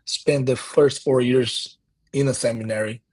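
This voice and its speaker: Brazilian-accented pastor, husky and earnest. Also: male voice, medium pitch, steady tone, a little slow, a little mellow. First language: Portuguese. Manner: earnest, reflective, many restarts. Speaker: pastor and podcast guest. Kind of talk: conversational interview.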